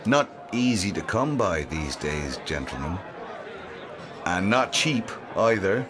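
A man playing a sly, smooth-talking hangman selling a grisly talisman with theatrical salesman's patter in a radio drama. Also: low-pitched, sing-song, slow pace, a crowd in the background, full character voice. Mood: sly, wheedling.

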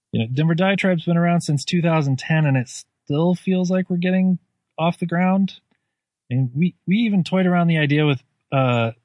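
A man's voice: fairly high pitch, dry recording. A man hosting a podcast, reading an earnest, self-deprecating intro while recording under a comforter in his garage on a cheap microphone. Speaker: podcast host. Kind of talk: scripted spoken intro. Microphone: cheap home microphone.

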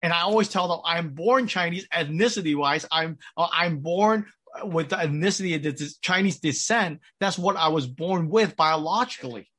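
A pastor delivering a sermon with an American accent, measured and pausing, with frequent restarts. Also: male voice, fairly high pitch, a bit bright. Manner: measured preaching with pauses and restarts.

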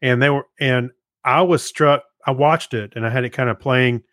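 A man's voice: mid-range voice, normal speed, fairly bright tone, dry recording, studio quality. A man co-hosting a podcast in casual chat, conversational and given to joking. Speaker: podcast co-host.

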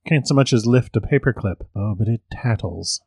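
A man's voice: on the low side, normal speed, vocal fry, a little mellow, husky, dry recording, studio quality. A man voicing a spiteful old male witch who growls and taunts smugly.

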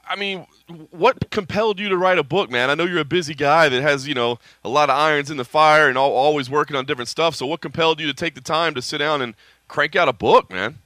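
Bright, smooth-voiced laid-back male host. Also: a little high, fast talker, dry recording. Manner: laid-back, calls people "man", many fillers. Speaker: podcast host interviewing a guest. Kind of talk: casual interview chat.

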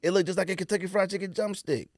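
An American man co-hosting a podcast in casual banter, joking and arguing in an animated way. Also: high-pitched, rapid-fire, no echo, studio quality. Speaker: sneaker podcast co-host.

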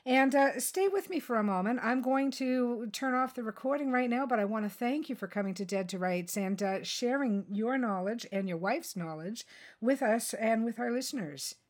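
A woman's voice: fairly high pitch, normal speed. A woman podcast host talking in a chatty, encouraging, conversational interview style.

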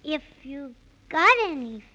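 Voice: high voice, expressive, slow, bright, forceful tone, highs cut off, exaggerated voice. A performer playing an earnest, polite, easily scared six-year-old boy in a 1930s children's radio serial, with wide-eyed singsong delivery. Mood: polite, curious.

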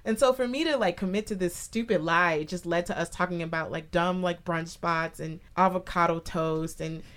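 A young woman chats on a podcast in a wry, teasing way, full of slang and quick asides. Her voice sits slightly low. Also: steady tone, fairly bright tone. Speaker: podcast co-host. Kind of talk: casual chat.

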